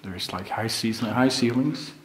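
A middle-aged man who is not an English speaker, talking in a halting way and thinking aloud as he walks through an abandoned house on a handheld camera mic. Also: medium pitch, a little hiss or hum. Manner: halting, thinking aloud, drops to a hushed whisper at times. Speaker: urban explorer host. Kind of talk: casual walkthrough commentary. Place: large abandoned house, indoors. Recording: echoey empty rooms, music underneath.

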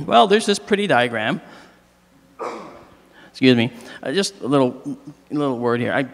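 A middle-aged man with a slightly hoarse voice gives a joking, animated astronomy lecture on stage into a handheld mic. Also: mid-range voice, unhurried. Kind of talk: public lecture with jokes. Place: large hall, on stage. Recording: long reverb of a large hall.

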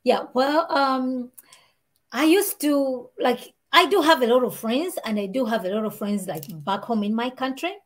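A woman with a Malawian accent talks through her story as an interview guest, lively and gesturing as she speaks. She sits at home and comes through a video call headset. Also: high-pitched, normal speed. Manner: animated, talks with her hands. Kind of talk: casual interview chat. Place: home room with shelves and warm lamp. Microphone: video call, earbuds.

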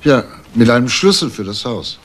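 An actor playing a calm, persistent police detective in a crime drama, speaking in a matter-of-fact, official way. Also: male voice, medium pitch, moderate pace, husky. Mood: firm, insistent. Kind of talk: German TV crime drama acting.

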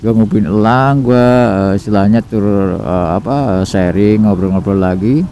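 A young man with a Jakarta slang accent chatting to himself on a night motorcycle ride, rambling and relaxed with many fillers, recorded on a helmet camera. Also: lowish voice, normal speed. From Indonesia. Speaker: motovlogger. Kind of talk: casual solo ride vlog. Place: riding a motorcycle at night on city streets. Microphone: helmet-mounted action camera. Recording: road and traffic noise behind. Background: engine and traffic.

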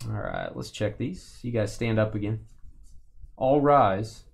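A man's voice: fairly low pitch, big pitch swings, unhurried, vocal fry, a little mellow. A man with a Texas accent hosting a live-stream card break, chatting and joking with viewers as he opens packs. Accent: Texan.

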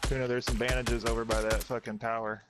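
A man's voice: medium pitch, level tone, fast talker, music in the background. A man playing an online shooter with his squad, giving casual, slangy callouts with some swearing. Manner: profane, slangy. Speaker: gamer in a squad. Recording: noisy game chat with game sounds.

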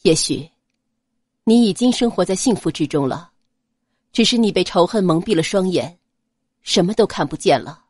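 A gentle young prince in an audio novel speaks with quiet earnestness, voiced by a woman who lowers her tone for him. His voice sits lower than the narration and has a lively rise and fall. The recording is dry and close. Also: normal speed, long pauses, slightly rough, dry recording. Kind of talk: audiobook character voice.

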